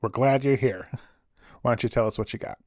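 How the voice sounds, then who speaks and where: A man's voice: medium pitch, moderate pace, a little mellow, dry recording, clean studio sound, lightly acted. A man playing a folksy, sympathetic late-night radio call-in host, informal and reassuring. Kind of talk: casual radio talk show acting.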